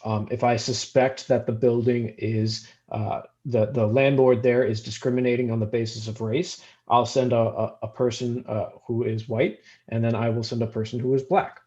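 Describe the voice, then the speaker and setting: A man explaining his work as a panelist, speaking haltingly and stumbling over words. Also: mid-range voice, dark tone. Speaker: fair housing investigator on a panel. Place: online video call. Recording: slightly dull video-call audio.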